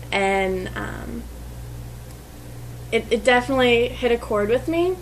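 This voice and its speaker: Casual lady talking books, highish voice, slightly noisy recording. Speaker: book reviewer. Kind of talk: casual chat.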